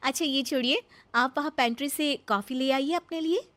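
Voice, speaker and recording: Woman doing polite young bahu character, cartoon story, tactful, highish voice. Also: normal speed, fairly bright tone. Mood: placating. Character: dutiful, tactful working daughter-in-law, young woman.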